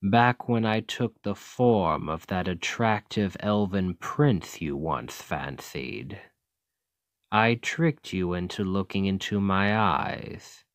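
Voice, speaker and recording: A man playing an ancient Demon King, a coldly calculating tyrant who talks in a measured, condescending, menacing way in an ASMR roleplay. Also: sits low, animated, no room sound, clean studio sound, heavily acted. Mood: commanding.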